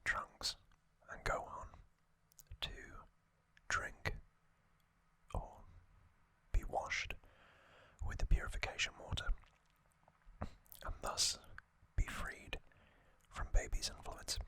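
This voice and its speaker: An ASMR host whispering a recap in a breathy, unvoiced whisper, in short halting phrases with pauses. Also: bright, forceful tone. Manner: slow, halting, pausing between short phrases.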